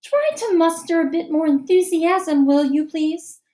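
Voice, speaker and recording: A woman's voice: extremely high pitch, a little slow, dark tone, voice pushed up. A woman voicing a patient but firm schoolteacher in an audiobook reading. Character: patient adult female teacher.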